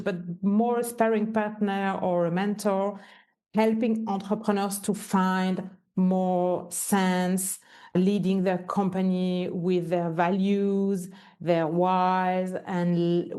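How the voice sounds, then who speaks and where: A woman's voice: on the low side, dark tone. A woman with a Swiss French accent, a native French speaker, talking as a podcast guest in a hesitant way, restarting often. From Switzerland. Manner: hesitant, frequent restarts, non-native phrasing. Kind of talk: casual interview conversation.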